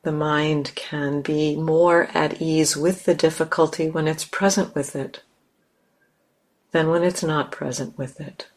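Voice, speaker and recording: A woman teacher leading a guided meditation in a gentle, unhurried manner, leaving long pauses between phrases. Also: low-pitched.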